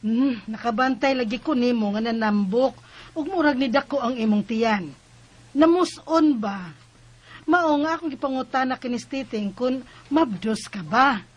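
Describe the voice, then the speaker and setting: A knowing mother of the family in a Bisaya radio drama, acted by a woman, full of advice. She has a high voice and a Cebuano accent. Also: normal speed, muffled recording, natural voice. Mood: knowing, advising. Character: knowing, advising middle-aged mother of the family.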